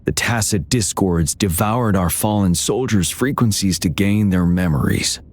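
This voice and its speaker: A man playing a calm, solemn young general in a video game, speaking in a measured, grave, formal way. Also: low-pitched, a little hiss or hum. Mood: grave, composed. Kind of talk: scripted video game dialogue.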